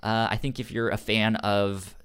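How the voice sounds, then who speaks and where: A man co-hosting a casual comics discussion, stuttering on sounds and syllables in a halting, informal way. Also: on the low side, flat delivery, fairly bright tone, smooth. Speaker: podcast co-host reviewing comics.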